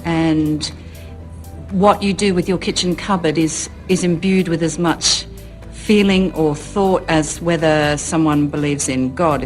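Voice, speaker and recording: A woman interviewer putting a question in a tentative, halting way. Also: low-pitched.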